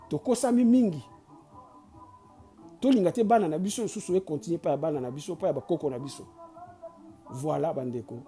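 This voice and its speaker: Urging Congolese host, mellow voice. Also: mid-range voice. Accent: Congolese French. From Kinshasa, Congo. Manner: preachy and urging, with frequent restarts and stammers. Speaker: channel host. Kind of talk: impassioned address mixing Lingala and French.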